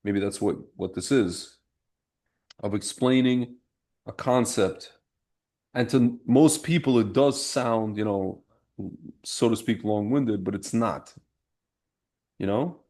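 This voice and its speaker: A man's voice: lowish voice, takes it slowly, pauses often, dark tone. A young man hosting a podcast, talking in a loose, rambling way with many restarts. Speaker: podcast host. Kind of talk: casual chat.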